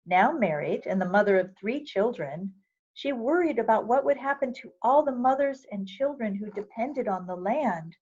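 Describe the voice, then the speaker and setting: A woman reading a picture book aloud for children, with measured, expressive storytelling phrasing. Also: on the high side, husky, dull audio. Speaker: volunteer reader of a children's book.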